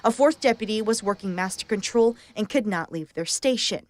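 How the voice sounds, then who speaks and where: A woman's voice: mid-range voice, animated, brisk. A woman with an American accent delivering a scripted television news report.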